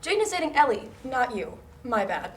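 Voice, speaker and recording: A woman's voice: on the high side, very animated, normal speed. A woman playing a brazen, passive-aggressive transfer student in a table read of a drama script. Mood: mock sweet. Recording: faint noise, amateur room recording.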